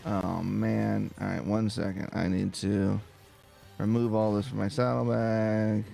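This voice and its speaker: Creaky-voiced man doing calm game commentary. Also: lowish voice, measured pace, faint music behind. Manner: casual, talks to himself while playing. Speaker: let's play host. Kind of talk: calm, slow-paced gameplay commentary.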